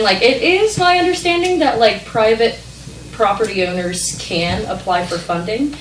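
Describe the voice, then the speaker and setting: A woman's voice: lowish voice, slight background noise. A young woman from a regional planning group explaining a grant program at a council meeting, with many ums and likes. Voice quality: loud voice. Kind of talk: public meeting presentation. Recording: echoey meeting hall, distant mic.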